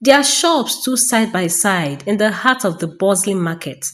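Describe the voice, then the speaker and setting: A woman narrating a folktale as a storyteller, in a dramatic, deliberate and suspenseful scripted style with pauses. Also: mid-range voice, animated, fairly bright tone.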